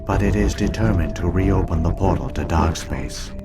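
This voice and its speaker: An actor playing an ancient alien virtual intelligence in a video game, speaking in a measured, formal, solemn and coldly logical manner. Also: male voice, deep voice, lightly acted. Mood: grave, measured. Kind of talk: scripted video game voice acting, exposition. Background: game music.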